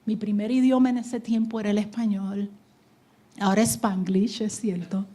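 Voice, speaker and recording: A woman from New Jersey giving a preaching testimony at a conference, moving from loud exhortation to hushed whispers. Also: medium pitch, fairly even intonation, moderate pace. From United States (New Jersey). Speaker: conference speaker. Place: conference hall stage.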